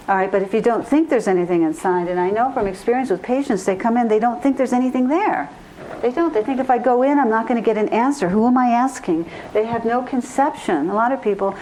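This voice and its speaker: A woman's voice: medium pitch, a little mellow, a little hiss or hum, low-quality recording. A woman giving an informal spiritual talk as a therapist and teacher, speaking earnestly and repeating phrases for emphasis.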